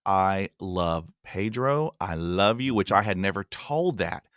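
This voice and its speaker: A man's voice: low-pitched, expressive, slow pace, a bit bright, no room sound, clean studio sound. A man in his forties hosting a podcast interview, talking earnestly with a stutter and repeating himself for emphasis. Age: 40s. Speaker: podcast host.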